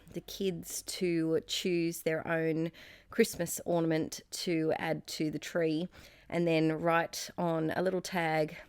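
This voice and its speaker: An Australian woman in her thirties, slightly croaky, chatting casually and rambling with fillers and giggles as she narrates her scrapbooking. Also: fairly low pitch, no room sound. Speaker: scrapbooking YouTuber. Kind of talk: casual crafting voice-over. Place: home craft space.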